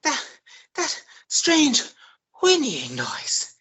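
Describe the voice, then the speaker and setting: A woman's voice: high-pitched, huge pitch swings, extremely slow, fairly bright tone, exaggerated voice. A woman playing a hapless, flustered man in a radio play, hesitant and stammering. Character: flustered, hapless man caught with his lover.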